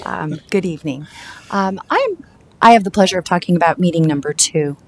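A woman with a scratchy, hoarse voice from the flu, giving a polite, formal presentation to a school board in a large meeting room. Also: on the low side, animated, fairly quick. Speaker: district staff member presenting to a school board.

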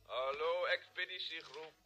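An actor playing a confused polar base radio operator, making formal calls over a radio link in a vintage radio drama. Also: male voice, a little high, a little slow, dull audio, crowd noise. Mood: businesslike. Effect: radio transmission.

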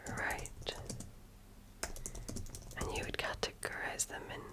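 A woman whispering softly and breathily in an ASMR medical exam roleplay, speaking as a gentle, attentive and reassuring clinician. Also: slow. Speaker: ASMR creator playing an alien medical examiner.

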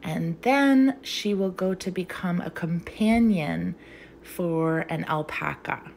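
A woman's voice: lowish voice, slow, gravelly, a little mellow, a little hiss or hum. A young woman teacher reading aloud for young children in an emphatic, theatrical, sing-song way.

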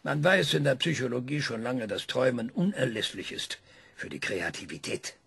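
Radio play: proud, gravelly scientist; lecturing, dramatic, drops to whispers. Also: male voice, mid-range voice, normal speed, no echo, heavily acted. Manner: lecturing, dramatic emphasis with sudden hushed whispers. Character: proud male scientist, sharp and scornful. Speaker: scientist being interviewed.

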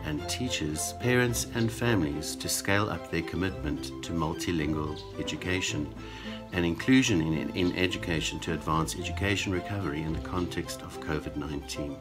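A middle-aged man giving a measured, formal introduction to a school video, filmed at home on a webcam. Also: sits low, over music. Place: home room indoors.

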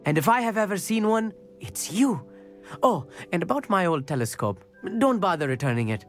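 A woman's voice: on the low side, normal speed, faint music behind. A rambling, quirky schoolgirl stargazer. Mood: chatty. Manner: rambling, self-assured, animated delivery. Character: eccentric, chatty schoolgirl stargazer, boastful and quirky. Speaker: game character.

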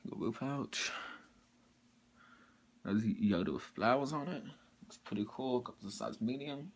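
A teenage boy casually showing off his mall clothing and sneaker haul in his bedroom, talking in a halting, rambling way with lots of fillers and restarts. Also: medium pitch, breathy edge. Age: teens. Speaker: sneaker vlogger. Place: bedroom, indoors. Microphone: camera or phone mic up close.

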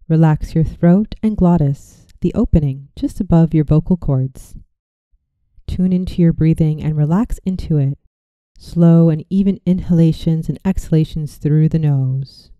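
A woman narrating a scripted guided visualization as a meditation guide, in a soothing, gentle and measured way. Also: low-pitched, no room sound, dull audio.

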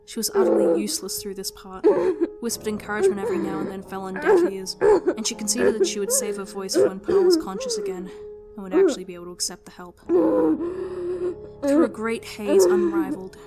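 A woman's voice: sits high, very animated, brisk, vocal fry. A woman narrating a story as a dramatic reading, in an emotive, intense manner. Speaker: narrator and writer. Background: prominent music.

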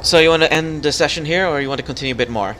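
A young man chatting casually while guiding a friend through a game, relaxed and full of fillers. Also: a little high, very fast, a little hiss or hum, rough recording. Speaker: gaming channel host. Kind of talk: casual co-op gameplay chat.

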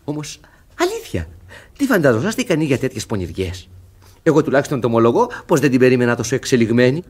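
An actor playing a cheeky, shrewd young manservant in a radio comedy, brisk and impish. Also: male voice, mid-range voice, very animated, darkish tone, slightly rough. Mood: amused, self-pleased. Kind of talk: theatrical radio comedy acting.

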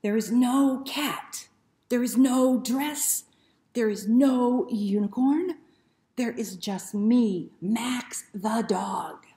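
A woman's voice: on the high side, very slow, warm tone. A woman voicing an indignant, easily flustered male dog from a picture book, with emphatic protests. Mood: exasperated. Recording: roomy indoor echo.

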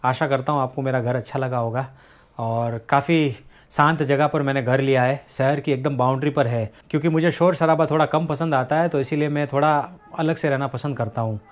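A man's voice: medium pitch, steady tone, brisk. A man in his thirties from India, chatting casually as he guides viewers through his apartment on a handheld phone. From India, raised in Rajasthan. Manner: chatty, guiding viewers room by room. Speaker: vlogger. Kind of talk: casual house tour vlog. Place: apartment, indoors.

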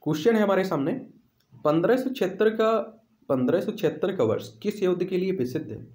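A male teacher with a fairly high voice walks through quiz questions for exam students. He repeats questions and answers to drive them home. The recording has a strong room echo. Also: lively intonation, brisk, a little mellow. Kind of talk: instructional quiz walkthrough. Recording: echoey room sound.

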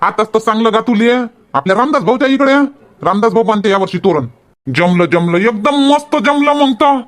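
A man's voice: high-pitched, lively intonation, a little fast. A man voicing a folksy, talkative village man in a comedy cartoon, bantering colloquially with a Varhadi Marathi accent. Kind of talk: homemade comedy cartoon dialogue.

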